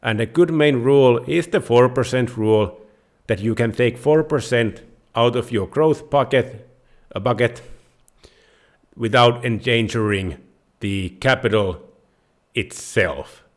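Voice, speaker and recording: A man with a Finnish accent hosting his own channel, explaining money basics to viewers in a halting way, pausing and restarting his sentences. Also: medium pitch. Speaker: host of a personal finance channel. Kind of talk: unscripted explainer talking to viewers.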